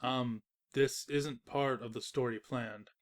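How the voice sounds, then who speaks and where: A woman's voice: rumbling low, fairly even intonation, slow pace. A woman playing a smug, teasing, disembodied narrator spirit with a dry, taunting manner. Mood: dismissive.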